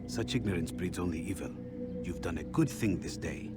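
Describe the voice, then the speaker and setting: An actor playing an approving, wise leader of assassins in a video game, formal and expressive. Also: male voice, low voice, moderate pace, loud music behind the voice, close to an everyday voice. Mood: grave, philosophical. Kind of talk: dramatic video game acting.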